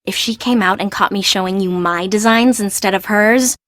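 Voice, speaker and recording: Woman acting as young female assistant, video game, chatty, confiding, gossipy, medium pitch, dry studio sound. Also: moderate pace, fairly bright tone, dry recording, lightly acted.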